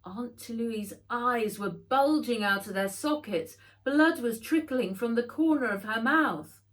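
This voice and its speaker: A woman reading a children's adventure novel aloud, in measured, dramatic storytelling. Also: on the high side, lively intonation. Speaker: author reading own book aloud. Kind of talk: scripted audiobook narration for children.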